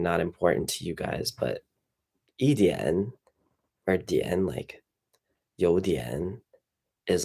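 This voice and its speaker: A young man with a very low, gravelly voice chats on a livestream, sounding tired. He rambles loosely and fills gaps with "like" and "um." His tone is dark and slightly husky. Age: young adult. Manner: relaxed, rambling, many fillers. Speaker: streamer. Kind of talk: casual livestream chat.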